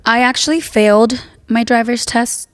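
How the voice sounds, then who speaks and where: A woman hosts a relaxed podcast chat, her voice slightly raspy. She laughs easily and drifts between English and Spanish, recorded dry and close with little room sound. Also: a little high, normal speed, dry recording. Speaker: podcast host. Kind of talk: casual chat.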